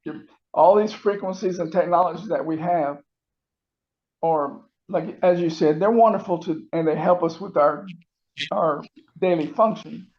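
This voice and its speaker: A man in his sixties speaking as an interview guest over a call, agreeable and halting as he explains. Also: a little high. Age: 60s. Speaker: interview guest giving testimonial. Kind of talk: casual interview. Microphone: remote call. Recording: dull, muffled call audio.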